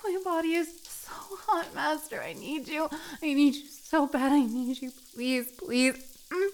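A young woman voicing a needy, submissive catgirl in an adult audio roleplay, with breathy, sighing, stammering pleas. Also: high-pitched, unhurried. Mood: soft breathless.

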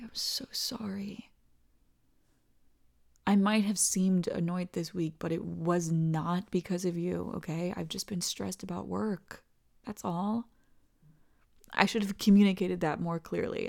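A tender, devoted young girlfriend, voiced by a woman in an ASMR roleplay, speaking slowly and reassuringly. Her soft voice often drops into whispers and carries a gravelly vocal fry. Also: lowish voice.

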